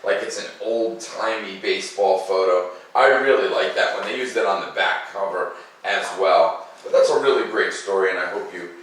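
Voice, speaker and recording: A man giving an expressive, dramatic read-aloud in the manner of a children's storyteller. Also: deep voice, normal speed, slightly rough, rough recording. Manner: animated, dramatic delivery.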